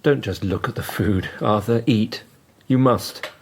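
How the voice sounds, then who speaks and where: An actor playing a restrained, earnest Victorian doctor in a radio drama, formal and a little hesitant. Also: male voice, lowish voice, unhurried, slight background noise. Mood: firm, concerned.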